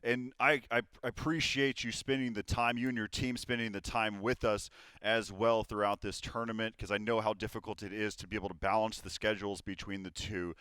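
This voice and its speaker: A man's voice: fairly low pitch, little pitch movement, brisk, bright, forceful tone, clean tone, no echo. Upbeat young male esports caster. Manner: upbeat, filler-heavy wrap-up talk. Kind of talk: live esports desk commentary.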